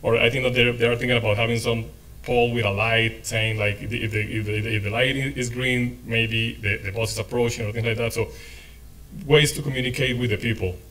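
A man's voice: mid-range voice, steady tone, fairly quick, fairly bright tone, low-quality recording. Spanish-accented man presenting transit technology. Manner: friendly, gesturing, loosely phrased with many fillers. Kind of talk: conference presentation. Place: hotel meeting room, indoors. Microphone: podium microphone.

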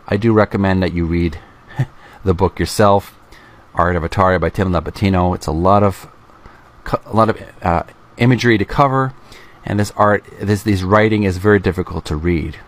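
A middle-aged man hosting a video, reading aloud from a book with casual commentary in a halting, deliberate way into a close microphone. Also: low voice. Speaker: video host.

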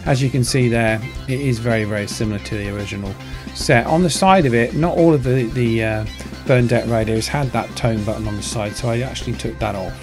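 A man's voice: mid-range voice, a little fast, hardly any pauses. A man casually narrating his hobby build in a modest, understated way. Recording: music behind the voice. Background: guitar music.

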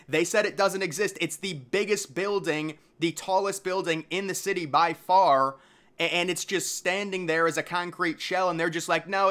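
A man's voice: high-pitched, pushed and bright. A man hosting an informal video, mixing documentary-style narration with casual, slangy commentary.

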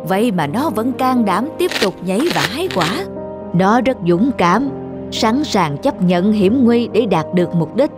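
A woman voicing a gentle, wise old woman in a cartoon moral tale, speaking in a measured, instructive way. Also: medium pitch, very animated, over music. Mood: calm, admiring.

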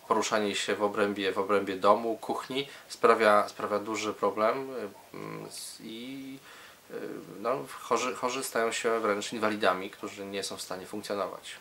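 A man with a lowish, very bright and forceful voice explains things as a medical specialist. His delivery is halting, with repeated words and hesitations as he builds each sentence in an interview setting. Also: steady tone, a little hiss or hum.

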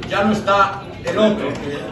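A man's voice: sits high, moderate pace, far from the mic. An older man with an accent from Spain giving an informal, heartfelt tribute speech, his voice emotional. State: emotional, choked up. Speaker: speaker giving a tribute at a farewell dinner. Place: busy restaurant dining room, indoors. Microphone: distant camera across the room. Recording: echoey room from afar, loud crowd.

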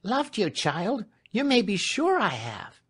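A man voicing a warm-hearted, excitable serving woman in an audiobook, with a plain, artless, emphatic way of talking. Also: high voice, slow pace, breathy edge, pitched above the performer's own voice, exaggerated voice. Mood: anxious, devoted.

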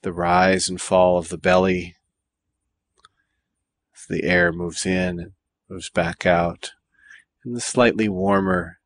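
A man leading a guided meditation in a calm, measured way, with deliberate phrases and pauses between them. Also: low voice. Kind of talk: guided body scan meditation.

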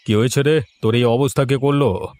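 A man's voice: mid-range voice, fairly even intonation, fairly quick, darkish tone, no echo, natural voice. A man voicing a blustering, heavyset village gang boss in a cartoon fairy tale, with a melodramatic delivery. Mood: menacing bluster. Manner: threatening, melodramatic.

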